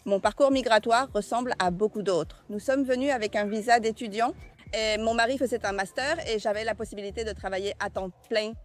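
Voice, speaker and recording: A woman calmly describing her experiences in an interview. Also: fairly high pitch, fairly quick, soft background music.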